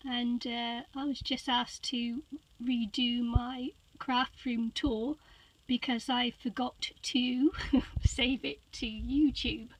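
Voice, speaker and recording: Older woman, squeaky and high, unhurried, rambling, lots of fillers; phone recording in a tiny cabin. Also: level tone, poor audio. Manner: rambling, hesitant with many fillers, giggly. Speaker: crafter giving a room tour. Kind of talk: casual live-stream tour. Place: small cabin of a sailboat used as a craft room. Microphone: handheld phone.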